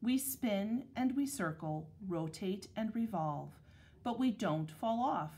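Slow-reading woman teacher, children's picture book. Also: medium pitch, expressive, dark tone, slight background noise. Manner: measured, deliberate phrasing. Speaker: elementary school teacher reading aloud. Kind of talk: read-aloud picture book narration for children.

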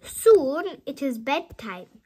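A woman reading a children's storybook aloud in an animated, expressive way, as host. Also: high-pitched. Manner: animated, deliberate delivery for children.

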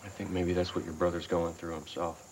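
An actor playing an easygoing young boyfriend on a 1990s daytime soap who talks calmly and reassuringly. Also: male voice, low voice, brisk, close to an everyday voice. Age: young adult. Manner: calm, reassuring, some restarts. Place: indoor studio set. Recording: noisy soap broadcast recording.

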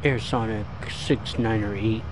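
A man speaking terse, deliberate voice commands as an air traffic controller in a game. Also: lowish voice, fairly even intonation.

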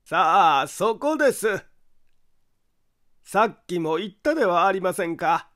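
A man voicing a shrewd, formally polite detective in an audiobook, pressing his accusation in a theatrical, deliberate manner. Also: high voice, big pitch swings, fairly bright tone, full character voice. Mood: accusing. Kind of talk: dramatic audiobook character acting.

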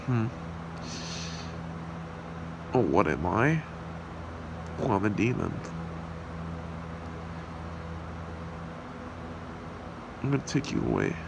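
A man voicing a possessive, smug demon kidnapper in an amateur ASMR roleplay, taunting and unhurried. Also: lowish voice, creaky, heavily acted. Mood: smug, menacing. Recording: steady hiss and hum behind voice.

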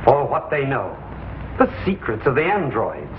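Scheming space outlaw villain, muffled old soundtrack. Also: male voice, medium pitch, huge pitch swings, moderate pace. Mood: persuasive, scheming. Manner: taunting, theatrical. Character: scheming male outlaw villain, menacing and smooth-tongued. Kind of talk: 1950s television space-opera acting. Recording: muffled, noisy, echoey 1950s TV soundtrack.

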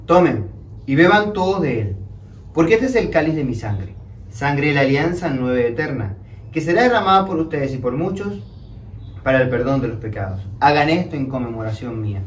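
Echoey young priest reciting Mass prayers. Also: male voice, mid-range voice, expressive. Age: young adult. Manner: solemn, measured, declamatory. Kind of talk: liturgical prayer, solemn recitation. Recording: echoey room, distant mic, background noise.

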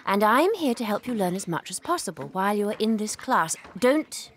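Casting: woman as sweet British schoolteacher in children's audio drama, mid pitch, lively intonation, patient and earnest. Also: a little fast, a little hiss or hum, close to an everyday voice. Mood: patient, encouraging. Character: sweet, gentle young female primary school teacher. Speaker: teacher.

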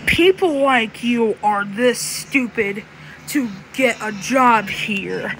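Woman voicing a very slow, menacing animal villain. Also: on the high side, a bit bright, slight background noise, rough recording. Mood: threatening. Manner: slow, taunting, threatening delivery. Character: menacing, taunting clawed animal villain from a horror game. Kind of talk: fan-made horror game villain voice lines.

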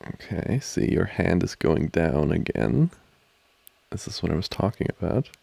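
A man playing an amorous, giggly student boyfriend in an intimate ASMR roleplay, talking haltingly and teasingly close to the mic. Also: low-pitched, very animated, creaky, husky, full character voice. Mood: relaxed, distracted. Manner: halting, soft-spoken, chuckling, teasing. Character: amorous, giggly young male student boyfriend trying to study. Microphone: close microphone, dry.

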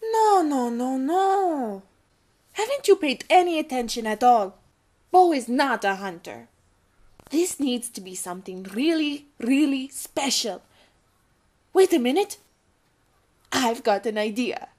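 A woman playing an excitable, scolding servant in an audio drama, emphatic and drawing out her words. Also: sits high, slow pace, long pauses, exaggerated voice. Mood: exasperated, excited. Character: excitable, scolding female castle servant companion.

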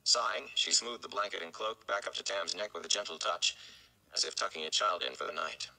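A man's voice: sits low, a little fast, gravelly, bright, forceful tone, poor audio. A man in his thirties giving a dramatic audiobook-style narration of a fantasy novel. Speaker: read-along reader. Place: indoor room at home.

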